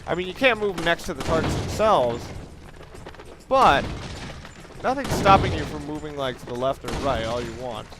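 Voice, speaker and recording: A man's voice: on the high side, lively intonation, moderate pace, background noise. A man giving casual, hesitant video game commentary with wry, self-deprecating asides. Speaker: video game let's player. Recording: game music and gunfire behind. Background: game music, gunfire.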